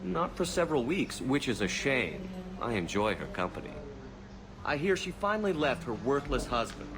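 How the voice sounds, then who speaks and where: A man's voice: medium pitch, very animated, moderate pace, crowd noise, rough recording. An actor playing a vain, playful male courtesan in a video game, languid and suggestive. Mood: gossipy. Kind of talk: video game dialogue acting. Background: game music.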